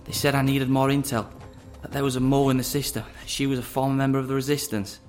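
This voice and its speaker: A smug, menacing double agent acted by a man in a sci-fi audio drama. He taunts and talks down in a possessive, mid-pitched voice over clear background music. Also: level tone, quick pace, darkish tone, natural voice. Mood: smug contempt. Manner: taunting, condescending.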